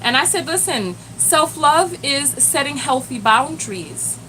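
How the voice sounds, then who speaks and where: High-voiced woman hosting a live stream. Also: a little slow, slight background noise, poor audio. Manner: animated, addresses the chat directly, exclaims "Lord have mercy". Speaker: live-stream host and self-love coach. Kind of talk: casual live-stream chat with viewers.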